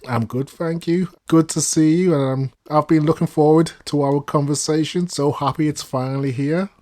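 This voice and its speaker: A man's voice: on the high side, steady tone, normal speed, darkish tone. A man hosting an interview podcast, introducing and questioning in a polite, prepared way. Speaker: podcast host.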